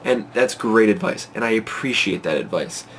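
A congested young man in his twenties talks straight into a close webcam from his bedroom at night, fretful and excitable, rambling with lots of fillers. His voice sits fairly low, with a faint hiss of room noise behind him. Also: normal speed. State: congested from a cold. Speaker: vlogger. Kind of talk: casual to-camera vlog. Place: small bedroom at night, indoors. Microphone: webcam at close range.

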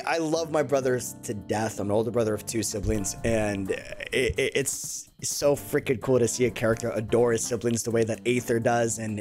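A man in his twenties giving slangy, exclamatory reaction commentary as a video host. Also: medium pitch. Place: small room indoors, at a desk. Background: game trailer music.

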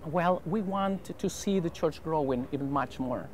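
A man's voice: fairly high pitch, a little mellow. Salvadoran man in his 30s, Spanish-accented English, earnest pastor being interviewed, lapel mic outdoors, faint noise. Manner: earnest, careful English with filler pauses. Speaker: pastor and mission president. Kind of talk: interview answers. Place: outdoor rooftop terrace over a harbor.

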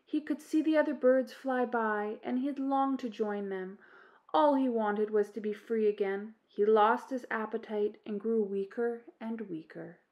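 A Canadian woman narrates a children's picture book. Her voice is fairly high and her pace slow, with the expressive delivery of a storytime reader. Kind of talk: children's storybook read-aloud, scripted narration.

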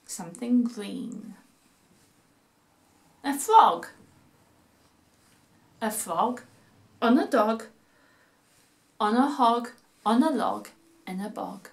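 A middle-aged woman hosting storytime for children, speaking in a deliberate, emphatic, playful storytelling style. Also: on the high side. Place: small home living room. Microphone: camera a few feet away.

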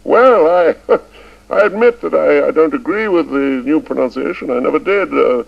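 A man's voice: fairly high pitch, vocal fry, voice pushed up. A man voicing an elderly male schoolmaster who stammers and halts, stubborn and fond of the old ways. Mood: scornful. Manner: stammering, halting with many uhs. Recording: dull lo-fi recording.

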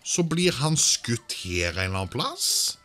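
A man's voice: fairly high pitch, huge pitch swings, sharp tone, soft background music. A man doing casual let's-play commentary, thinking aloud and sprinkling in English exclamations. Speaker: gaming video host.

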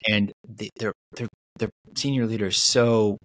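A man's voice: lowish voice, fairly even intonation, moderate pace, a bit bright, no echo. A man in his thirties, a guest author in a casual video-call conversation, talking animatedly with many restarts and fillers. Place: small home room on video call. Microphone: video call mic.

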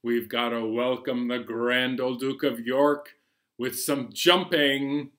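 A man in his forties presenting a storytime for young children in an animated, playful manner, recorded at home in a small room. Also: medium pitch, slow pace. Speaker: children's library storytime host.

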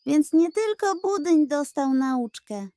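A woman's voice: high voice, animated, slow pace, mellow, no room sound, pitched above the performer's own voice, exaggerated voice. A woman voicing a haughty, sly male cat with a drawl in a children's audio story. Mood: muttering.

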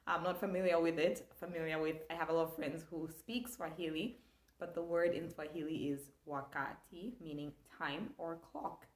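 A woman's voice: fairly low pitch, echoey room. A young woman from Zambia, teaching an online language lesson with enthusiasm, gesturing as she talks. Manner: animated, gestures a lot, enthusiastic. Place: small room indoors.

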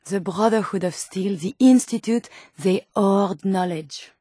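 A woman's voice: mid-range voice, unhurried, dark tone, slightly rough, no echo, clean studio sound. An actress voicing an earnest, polite female robot scientist in a video game, with formal, precise phrasing. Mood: earnest, wistful. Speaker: video game companion character. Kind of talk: acted video game dialogue.

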